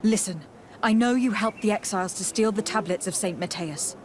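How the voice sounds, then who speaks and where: A woman playing a calm, diplomatic young noblewoman envoy in a video game, speaking in a measured, formal and firm way. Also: mid-range voice, slight background noise, lightly acted. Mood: firm, pressing. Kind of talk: video game dialogue acting.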